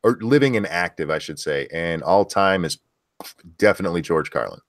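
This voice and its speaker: A man with a deep voice hosting a casual livestream chat, reading viewer questions in an easygoing, crude, joking way. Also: lively intonation, normal speed, no echo, studio quality.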